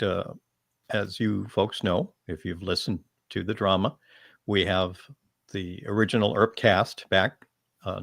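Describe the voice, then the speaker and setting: A man's voice: deep voice, creaky, a bit bright, dry recording, clean studio sound. A man moderating a panel discussion in a casual, conversational style.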